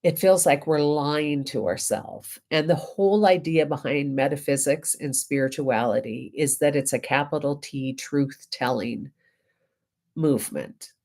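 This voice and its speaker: A woman reverend getting over a head cold, giving a reflective spiritual talk with deliberate, emphatic phrasing and pauses. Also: sits low, a little mellow.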